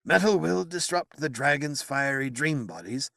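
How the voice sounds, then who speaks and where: A man's voice: on the high side, lively intonation, normal speed, vocal fry, bright, forceful tone, no room sound, clean studio sound, voice pushed up, exaggerated voice. A man voicing an agitated old male wizard, grave and fearful by turns.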